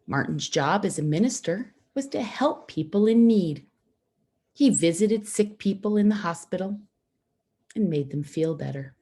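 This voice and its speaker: A woman reads a children's storybook aloud at a slow pace. Her pitch moves in lively swings, and her voice has a husky edge. She phrases things simply, leaving pauses so young listeners can follow. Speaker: storybook reader for schoolchildren. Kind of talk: read-aloud children's picture book narration.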